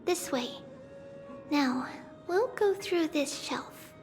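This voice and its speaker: High-voiced, composed young girl guide. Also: expressive, in a large hall, soft background music, exaggerated voice. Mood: calm, solemn. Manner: measured, knowing, earnest. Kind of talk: dramatic game voice acting.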